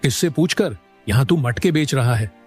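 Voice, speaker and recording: A man's voice: mid-range voice, animated, moderate pace, a little mellow, slightly rough, soft background music. A man voicing a curt, domineering bully in a cartoon story, ordering someone around with threats. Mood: bossy, dismissive. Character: bossy, threatening man bullying a market pot seller. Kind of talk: Hindi cartoon story dialogue.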